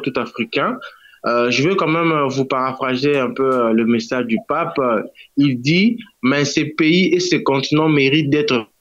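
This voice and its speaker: A man from Congo reports on a radio interview, pausing often on fillers and starting words over. His voice sits at an ordinary middle pitch. The line sounds dull and lo-fi. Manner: hesitant, many fillers and restarts. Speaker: guest correspondent.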